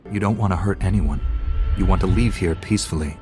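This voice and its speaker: Man acting young game hero, low voice, stern, measured, principled, clear music underneath. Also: lightly acted. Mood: calm, firm. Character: young male Jedi apprentice, steady, stern, principled hero. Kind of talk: video game cutscene acting.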